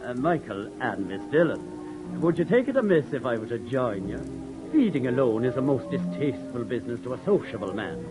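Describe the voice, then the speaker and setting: A man acting a smooth, pushy, sociable insurance man in a 1940s radio drama, speaking with oily, ingratiating politeness. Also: mid-range voice, big pitch swings, a little fast, natural voice, poor audio. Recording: old radio broadcast with music behind.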